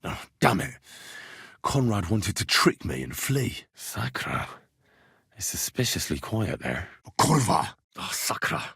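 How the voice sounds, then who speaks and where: A man playing a young, earnest, wry medieval adventurer in a video game, slightly husky, muttering his thoughts and quick to curse. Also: lowish voice, very animated, no room sound, studio quality, full character voice. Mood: frustrated, cursing. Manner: muttering thoughts to himself, bursts into shouts. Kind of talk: acted video game voice lines.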